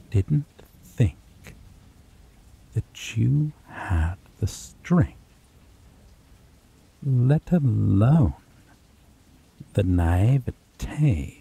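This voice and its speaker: A man acting a slow, velvety possessive vampire. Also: on the low side, vocal fry, dark tone, husky, heavily acted. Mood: cold, menacing. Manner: slow, formal, theatrical, endearments laced with threat. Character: possessive vampire man, coldly polite, menacingly affectionate captor. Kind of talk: ASMR roleplay acting. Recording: rain and thunderstorm noise behind. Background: rain and thunder.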